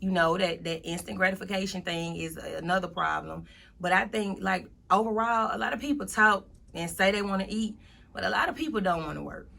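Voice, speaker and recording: A woman's voice: on the low side, gravelly, pushed and bright. A woman of thirty-one, speaking as a motivational guest in a casual interview, emphatically repeating her points.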